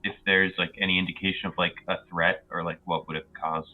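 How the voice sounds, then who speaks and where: A man's voice: sits low, flat delivery, fairly quick, soft background music. A man playing a tabletop roleplaying game, chatting casually and tentatively, on a dull lo-fi recording.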